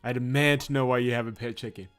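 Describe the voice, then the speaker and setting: A man's voice: mid-range voice, fairly even intonation, fast, fairly bright tone, clear, resonant tone. A man giving casual playthrough commentary with wry, teasing asides and reading narration aloud. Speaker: let's-play host. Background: game music.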